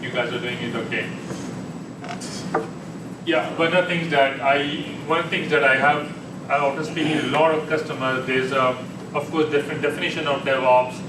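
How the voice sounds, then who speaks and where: A man giving a conversational tech presentation at a meetup, often restarting his sentences. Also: medium pitch. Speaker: conference speaker. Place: meeting room. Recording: echoey room, distant mic, air conditioning hum.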